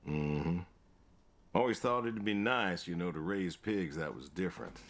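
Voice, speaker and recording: An actor playing a weary, stubborn old American pig farmer in a video game, speaking in a subdued, laconic, plain way. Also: male voice, animated, a little slow. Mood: plain, reminiscing. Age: older. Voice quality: low, gravelly. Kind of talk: naturalistic video game dialogue.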